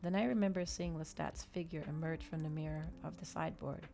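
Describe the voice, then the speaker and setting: A woman doing a soft, unhurried ASMR reading of a novel, with small stumbles and restarts. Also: low-pitched, fairly even intonation, creaky. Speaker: streamer reading a novel aloud. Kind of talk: ASMR audiobook-style reading.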